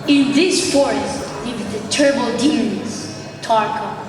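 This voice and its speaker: A woman's voice: on the high side, slow, a crowd in the background. A young woman playing a venerable, earnest male sage in a formal, declamatory school stage play. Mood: grave, urgent. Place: large school gym. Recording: echoey large hall, distant mic, music.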